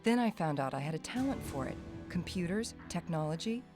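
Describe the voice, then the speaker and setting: Low-voiced, sardonic young heiress, played by an actress. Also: female voice, animated, fairly quick, over music, close to an everyday voice. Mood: matter-of-fact, reminiscing. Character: poised, sardonic, vengeful young heiress, murdered man's daughter. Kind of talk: video game cutscene acting.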